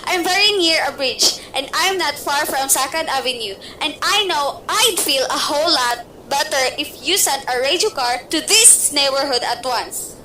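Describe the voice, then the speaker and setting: Radio-play role: a fretful, demanding bedridden lady, read by a woman, high and shrill. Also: expressive, normal speed, few pauses, pushed and bright, slight background noise, rough recording. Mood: frantic insistence. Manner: shrill, impatient, fretful pleading. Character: nervous bedridden wealthy woman, demanding and frantic. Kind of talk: amateur radio play acting.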